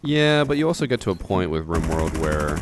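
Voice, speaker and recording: A man streaming a shooter game, chatting calmly with his viewers and commenting on his play in an unexcited way. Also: low-pitched, a little fast, noisy background. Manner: calm, unexcited. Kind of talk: casual gameplay commentary with chat. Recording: game gunfire audible behind voice.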